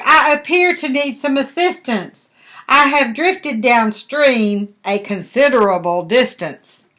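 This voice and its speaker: A woman's voice: fairly high pitch, fairly bright tone. A woman voicing a small, stubborn, polite storybook frog, speaking earnestly and formally. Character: small stubborn polite frog clinging to his log home.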